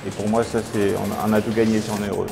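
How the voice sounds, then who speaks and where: A middle-aged man speaking calmly and thoughtfully as an interview guest in an indoor hall. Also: lowish voice, quick pace, creaky. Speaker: guest, contest sponsor. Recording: music bed under the voice.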